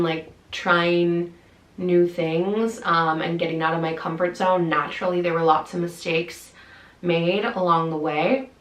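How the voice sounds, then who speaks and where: Woman, late twenties, casual vlog chat, somewhat low voice, roomy echo. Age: late 20s. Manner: chatty and candid, many fillers and restarts. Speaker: content creator. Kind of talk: casual talk to camera. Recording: echoey room.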